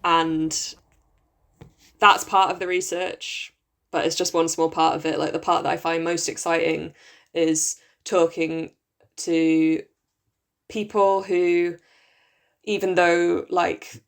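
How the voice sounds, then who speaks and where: A young woman from Wales talks in a Welsh accent, casual and unhurried, with plenty of ums and likes as she explains her research. Her voice sits low and stays level, with little rise and fall. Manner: relaxed, lots of ums and likes. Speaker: podcast co-host, PhD researcher. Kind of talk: casual chat.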